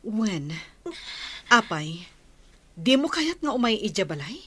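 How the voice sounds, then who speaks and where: An actress playing a caring nurse who takes charge in a radio drama, commanding yet reassuring. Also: female voice, mid-range voice, sing-song, slow, pushed and bright, breathy edge, full character voice. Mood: kind, firm. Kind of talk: Ilocano radio drama acting.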